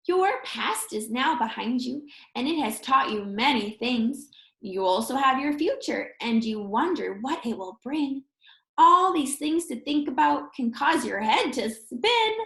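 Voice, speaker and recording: A woman's voice: on the high side, vocal fry, fairly bright tone. A woman reading a children's picture book aloud expressively, like a teacher, with encouraging asides spoken straight to young listeners. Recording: roomy, echoey sound.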